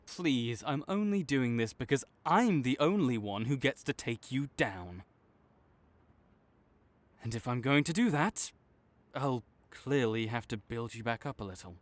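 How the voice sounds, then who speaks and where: A man playing a tsundere supervillain in an audio roleplay, halting and exasperated, teasing and reluctantly caring toward a rival. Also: medium pitch, expressive, lightly acted. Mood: reluctant, gruff. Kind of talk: intimate audio roleplay addressed to the listener.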